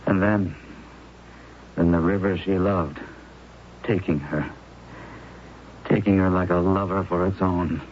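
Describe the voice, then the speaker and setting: An actor playing a grieving widower in a 1970s radio drama, speaking in an anguished, intense way. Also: male voice, deep voice, steady tone, takes it slowly, pauses often, slight background noise. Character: grieving widower, racked with guilt. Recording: muffled old radio broadcast.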